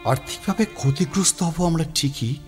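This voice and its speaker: A man playing an anguished, helpless older publisher in an audio drama, pleading and apologetic in a theatrical, halting way. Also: on the high side, faint music behind, close to an everyday voice. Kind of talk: Bengali audio drama acting.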